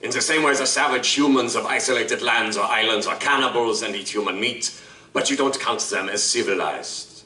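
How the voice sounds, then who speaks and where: A man's voice: medium pitch, normal speed, pushed and bright. An actor playing a suave, courtly male vampire liaison who speaks in formal, elaborate phrases, recorded live on stage. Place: live stage performance at a convention. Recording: roomy live stage from a distance.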